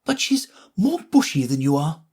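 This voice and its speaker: A man voicing a severe, sharp-tongued rose in a storybook reading, in a high, cutting, prim tone. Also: big pitch swings, normal speed, breathy edge.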